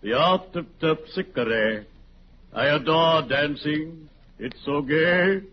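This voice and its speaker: An actor playing a courtly, morbidly cheerful undertaker in a solemn, genteel manner for a 1940s radio comedy. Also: male voice, a little high. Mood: genteel, droll. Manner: solemn, genteel, drawn-out delivery. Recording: muffled, noisy 1940s radio, audience behind.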